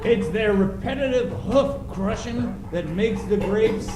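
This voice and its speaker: A man playing a boisterous, folksy cowboy sheriff in an old-time western radio spoof, with drawn-out delivery. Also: high voice. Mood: folksy selling. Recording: echoey room recording.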